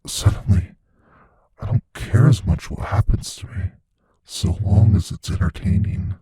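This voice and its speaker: An actor voicing a playful, possessive, menacing shape-shifting monster in an intimate audio roleplay, musing slowly in a husky, breathy near-whisper. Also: male voice, lowish voice, warm tone, dry recording. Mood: musing, intrigued. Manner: slow, musing, savoring pauses.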